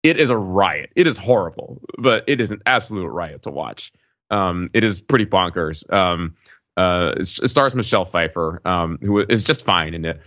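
A man's voice: low-pitched, expressive, normal speed, fairly bright tone, muffled recording. A man in his forties in casual conversation as a podcast co-host. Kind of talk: casual chat.

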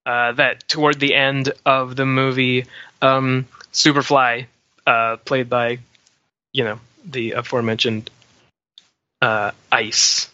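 A man co-hosting a podcast, analysing films casually in a deliberate, thoughtful way with hesitant pauses. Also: medium pitch, level tone, bright, forceful tone, no room sound, dull audio. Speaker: podcast co-host.

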